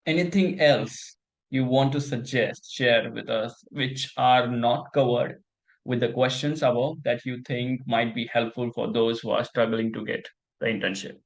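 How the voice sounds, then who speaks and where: A young man hosts a relaxed interview, winding through his questions with restarts and "you know" fillers. His voice sits at an everyday middle pitch for a man. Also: a little slow, gravelly, rough recording. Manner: rambling, loose grammar, frequent restarts and fillers. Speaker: interview host. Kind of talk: casual interview.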